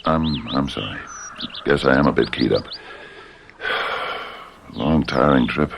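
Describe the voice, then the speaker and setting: An actor playing a nervous, unhinged middle-aged husband in a radio drama, halting and agitated, often repeating himself. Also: male voice, extremely low pitch, creaky, background noise, full character voice. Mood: shaky, defensive.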